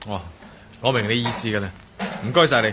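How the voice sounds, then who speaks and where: A man's voice: medium pitch, brisk, background noise, close to an everyday voice. An actor playing a concerned, caring man in a radio drama, speaking earnestly with sighs and a Hong Kong Cantonese accent. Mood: grateful, earnest. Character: concerned, caring man looking after an old friend.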